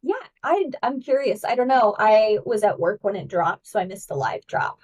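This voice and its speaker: A woman's voice: medium pitch, expressive, a little mellow. Woman, podcast guest host, eager and chatty, distant mic. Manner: enthusiastic, chatty, quick asides. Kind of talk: casual podcast chat.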